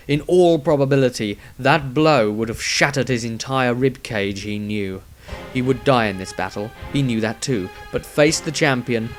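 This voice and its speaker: A man reading his own fantasy story as a dramatic audiobook narration. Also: medium pitch. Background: music.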